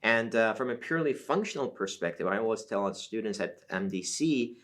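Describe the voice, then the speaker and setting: Deep-voiced man from Iran, measured. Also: animated, a bit bright. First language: Azeri Turkish. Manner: measured, explanatory, frequent uh fillers. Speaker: interview guest, professor. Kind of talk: academic interview.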